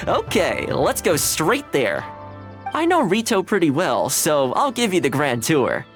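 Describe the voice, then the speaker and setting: An actor playing a friendly, easygoing young male retainer in a video game, talking in a relaxed, chatty way. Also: on the high side, takes it slowly, soft background music, heavily acted. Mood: cheerful. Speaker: game character.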